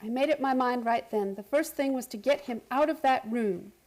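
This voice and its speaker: A woman acting an anxious, high-voiced lady. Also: moderate pace, darkish tone, natural voice. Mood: troubled narrating. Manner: hesitant, earnest, emotionally heightened. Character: anxious, caring young woman recounting a strange event. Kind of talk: radio play acting and narration.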